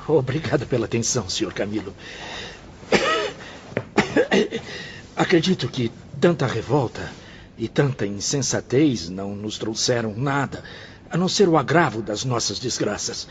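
A Brazilian actor playing a humbled former bohemian, earnest and formal, in a declamatory radio drama style. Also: male voice, medium pitch, expressive, normal speed, close to an everyday voice. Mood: earnest, resigned. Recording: dull radio recording with faint noise.

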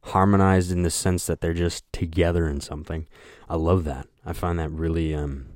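A young man hosting a solo podcast, talking in a flat, monotone voice that rambles, with lots of hesitation and filler words. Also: low-pitched, normal speed, no echo, clean studio sound. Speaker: podcast host. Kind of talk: casual solo podcast chat.

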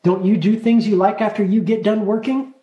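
A man's voice: sits high, steady tone, brisk, echoey room, lo-fi sound, pitched above the performer's own voice, natural voice. Man doing a blunt, chattering old gnome girl. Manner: blunt, morbid, hasty chatter. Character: bossy 263-year-old female gnome girl.

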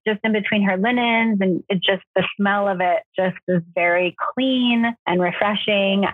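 Guest, woman from Syria, chatty and unscripted, husky tone, phone-quality remote audio. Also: medium pitch, no echo. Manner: conversational, with fillers and self-corrections. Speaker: guest, co-founder of a soap brand. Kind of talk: casual interview answers.

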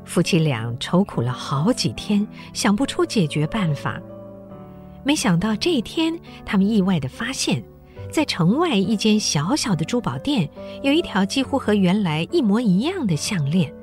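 A woman narrating a literary story in an expressive, dramatic storytelling way. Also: mid-range voice, moderate pace, faint music behind.

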